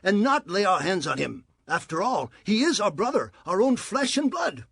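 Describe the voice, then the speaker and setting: An actor playing an earnest biblical shepherd in a dramatized scripture reading, with a gravelly, raspy voice. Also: male voice, high voice, very animated, normal speed, a bit bright, no room sound, studio quality, exaggerated voice. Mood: persuasive. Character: earnest, pleading brother of biblical shepherd family.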